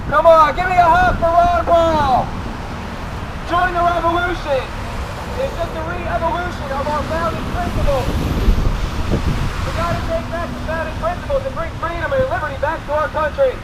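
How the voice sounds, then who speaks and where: A man's voice: very high voice, steady tone, moderate pace. An American man giving an impassioned, sloganeering street speech as a political activist, amplified through a bullhorn. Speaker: political activist campaigning for a candidate. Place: outdoors at a road intersection. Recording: noisy outdoor roadside, traffic noise.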